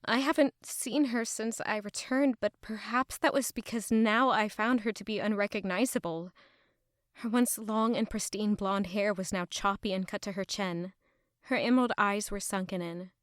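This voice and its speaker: An actress playing an anxious, gentle young former palace maid in an audio drama, speaking introspectively. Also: female voice, mid-range voice, moderate pace, no echo, studio quality, close to an everyday voice. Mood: wistful, uneasy. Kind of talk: audio drama acting with inner narration.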